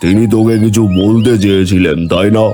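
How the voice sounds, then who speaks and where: A man's voice: on the low side, fairly even intonation, fairly quick, music in the background, natural voice. A man playing an all-knowing holy sage, stern but benevolent, in a fairy-tale cartoon.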